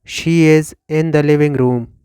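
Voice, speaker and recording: A man in his thirties from Pakistan with a Pakistani accent and Urdu as his first language, teaching English by slowly reading questions and answers and repeating each one for learners. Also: fairly high pitch, steady tone, dark tone, smooth, no echo, clean studio sound. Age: 30s. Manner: slow, deliberate, repeats each line for learners. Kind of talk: slow scripted question-and-answer drill.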